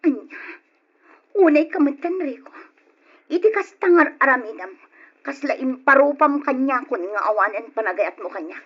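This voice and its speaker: A woman's voice: high voice, expressive, moderate pace, breathy edge. A woman with an Ilocano Filipino accent playing a devoted, wronged partner full of reproach in a radio drama. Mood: reproachful. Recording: dull old radio drama recording.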